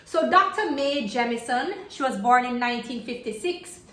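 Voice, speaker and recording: High-voiced woman reading aloud, echoey room. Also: normal speed. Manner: expressive, addressing young listeners with questions. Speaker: storyteller reading aloud for children. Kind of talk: children's book read-aloud with asides and questions. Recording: roomy, echoey home-style video recording.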